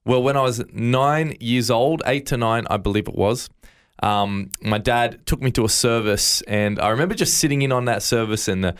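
A man's voice: mid-range voice, moderate pace, clean tone. A man with an Australian accent, a guest in an interview, earnestly recounting his faith story with frequent stammered restarts. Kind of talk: interview, personal testimony.

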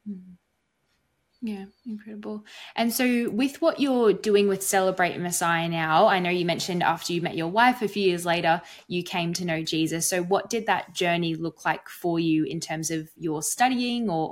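A woman with a somewhat low-pitched voice hosts an interview. She talks in a conversational way and frames long, winding questions for her guest. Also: moderate pace. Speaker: show host, interviewer. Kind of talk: interview hosting.

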